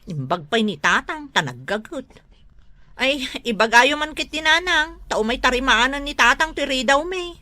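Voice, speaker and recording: Actress as a shrill, scolding Ilocano wife. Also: female voice, big pitch swings, moderate pace, sharp tone, full character voice. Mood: nagging, irritated. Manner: scolding, threatening, exclamatory. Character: scolding, strict wife nagging her lazy husband. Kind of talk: Ilocano radio drama acting.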